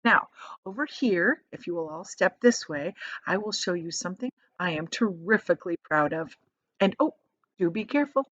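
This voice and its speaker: A woman voicing an eccentric, excitable, proud showman inventor in a children's storybook, exclamatory, fussy and commanding. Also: lowish voice, moderate pace, no room sound, muffled recording. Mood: fussy, insistent. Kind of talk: children's storybook read aloud.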